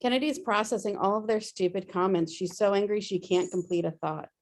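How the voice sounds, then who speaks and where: A woman's voice: mid-range voice, fairly quick. A woman narrating a screenplay's stage directions at a table read.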